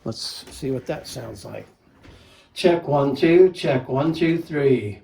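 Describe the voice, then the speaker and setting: A man's voice: medium pitch, expressive, slow pace, gravelly, dark tone, roomy sound, recorded from a distance. A man casually reviewing a microphone, talking plainly and counting off mic tests. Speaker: gear reviewer. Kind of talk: casual product demo with mic checks.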